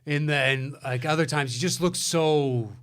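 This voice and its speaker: A man's voice: a little high, normal speed, fairly bright tone, smooth. A man chatting casually as a co-host, with a sardonic, sweary way of talking. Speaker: co-host of a movie list show. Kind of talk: casual chat.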